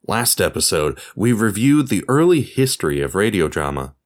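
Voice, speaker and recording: A man's voice: lowish voice, very animated, normal speed. A man presenting a podcast as its host, reading scripted narration in an explanatory way. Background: music.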